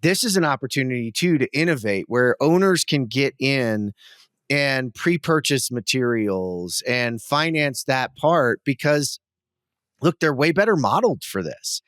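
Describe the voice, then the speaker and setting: Man, loud and keen, mid pitch, dry close sound, podcast co-host. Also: animated, a little slow, dry recording. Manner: loud, enthusiastic talker. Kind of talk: casual podcast chat.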